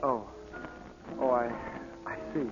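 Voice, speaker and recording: A man's voice: medium pitch, slow, music in the background, lightly acted. An American actor playing a shy, naive, earnest young scientist in 1940s radio drama style, flustered and hesitant as he talks. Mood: flustered, bashful. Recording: muffled, noisy 1940s radio broadcast.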